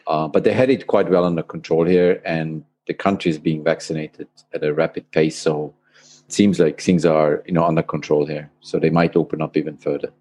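Male guest, forties, deep voice, hesitant storyteller. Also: steady tone, a little fast, a little mellow. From Austria. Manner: hesitant, with fillers and restarts. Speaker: podcast guest. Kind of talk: casual interview chat.

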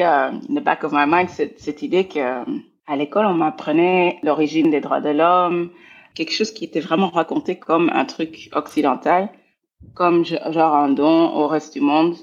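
A woman's voice: low-pitched, fairly even intonation, normal speed, highs cut off. A woman in her forties from Belgium, a guest scholar talking reflectively in an interview, often repeating words as she searches for the right phrase. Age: 40s.

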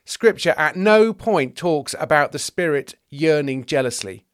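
A man's voice: on the high side, animated, moderate pace, fairly bright tone, dry recording, clean studio sound, voice pushed up. A man playing a disdainful, nitpicking teacher of religious law in a comedy sketch. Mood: pedantic. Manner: nitpicking, sarcastic.

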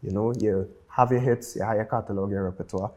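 Mellow, low-voiced Trinidadian man. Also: steady tone, fast. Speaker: music producer, podcast guest. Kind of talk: casual interview talk.

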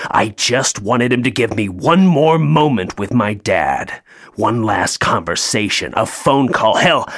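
An actor playing a bitter, grieving North Pole elf in an audio play, sarcastic and emotionally open. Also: male voice, mid-range voice, a bit bright, full character voice. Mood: hurt, angry.

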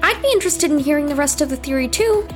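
A woman's voice: high-pitched, expressive, fast talker, over music. An actress in an audio drama playing an earnest, hesitant girl who rambles through her thoughts in a group lesson. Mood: candid.

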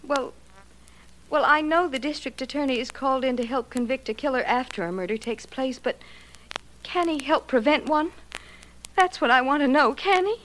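A woman's voice: sits high, moderate pace, fairly bright tone. A woman playing a frightened young woman in a 1940s radio drama, pleading urgently and hesitantly. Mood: anxious, pleading. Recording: noisy vintage 1940s radio recording.

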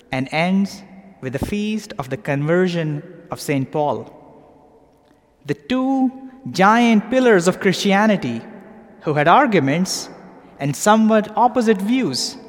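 A man with a Pakistani accent preaching a meditation in measured, deliberate short phrases. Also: fairly high pitch. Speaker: preacher, clergyman. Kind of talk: sermon, evensong meditation. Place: large cathedral, speaking to a congregation. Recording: long cathedral reverb.

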